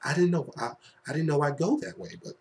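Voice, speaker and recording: A man's voice: a little high, quick pace, gravelly, darkish tone. A man giving a casual, enthusiastic film review, gushing conversationally and repeating phrases for emphasis. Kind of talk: casual, enthusiastic fan review to camera or mic.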